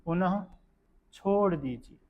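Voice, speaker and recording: A man guiding a meditation session in a calm, measured, instructional manner. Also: high voice, phone-quality sound. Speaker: yoga nidra meditation guide. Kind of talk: guided meditation narration.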